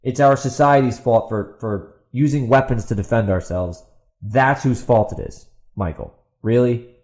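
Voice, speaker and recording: A man's voice: medium pitch, unhurried, a little mellow. A man hosting a podcast, ranting off the cuff in an exasperated, sarcastic way with emphatic repetition and rhetorical questions. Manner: rhetorical questions put to an absent opponent. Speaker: podcast host and author. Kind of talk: ranting opinion monologue, off the cuff.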